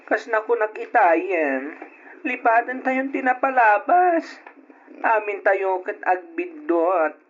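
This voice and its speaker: A man's voice: high voice, big pitch swings, slow, fairly bright tone, slight background noise, full character voice. A man playing a devoted, earnest young son in an old-style radio drama. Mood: earnest, hopeful. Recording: dull lo-fi radio drama audio.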